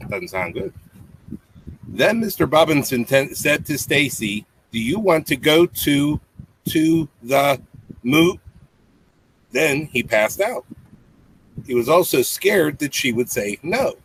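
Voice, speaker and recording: A man's voice: on the high side, lively intonation, slow, pauses often, a bit bright. Middle-aged man reading bedtime stories, noisy recording. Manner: simple, explanatory asides to children. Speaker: storybook reader, parent. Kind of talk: reading a children's bedtime story aloud with casual asides. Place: small indoor home room with low tiled ceiling. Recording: clearly noisy home webcam audio with clicks.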